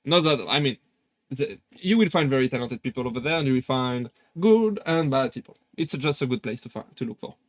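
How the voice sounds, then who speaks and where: A man's voice: medium pitch, animated, fairly quick, no echo. A young man with a French accent chatting casually on a live stream, hesitant with many fillers and restarts. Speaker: VTuber streamer.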